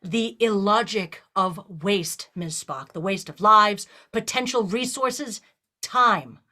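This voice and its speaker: A woman's voice: mid-range voice, slow, pushed and bright. A woman playing a bold, persuasive female starship captain in a fan audio drama, speaking with theatrical emphasis. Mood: impassioned. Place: remote virtual production.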